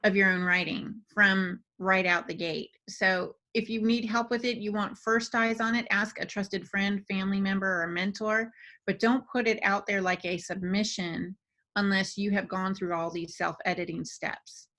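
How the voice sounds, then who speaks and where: A woman panelist talks through writing advice in a conversational way. Her voice sits at an average pitch and has a bright, forward edge. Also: fairly even intonation, normal speed. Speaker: podcast panelist and fiction writer.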